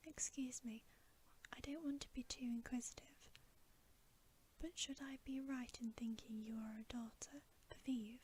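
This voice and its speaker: A woman's voice: a little high, fairly even intonation, unhurried, a little mellow, a little hiss or hum, lightly acted. A woman voicing a polite, flustered, slightly melancholy male fawn from a storybook, whispered with formal, hesitant courtesy. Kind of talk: whispered ASMR storybook reading.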